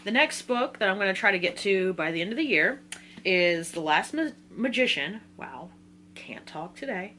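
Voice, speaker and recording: An American woman from Virginia talking casually in an excitable, rambling, slangy way, like a book vlogger. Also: fairly high pitch, a little hiss or hum. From Virginia, United States. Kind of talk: casual chatty video talk.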